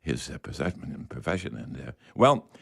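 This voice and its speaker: Halting, folksy radio host, a man. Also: extremely low pitch, very animated, vocal fry, fairly bright tone, breathy edge. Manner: folksy, addresses listeners as folks; halting, rambling delivery with many hesitations. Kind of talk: live call-in radio talk.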